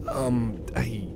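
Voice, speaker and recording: A man playing a shy, kind cyborg with a crush in an ASMR roleplay, stammering and repeating himself as he talks to the listener. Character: bashful, kind male cyborg friend nervously confessing a crush. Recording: clear background vehicle rumble noise. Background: train ride ambience.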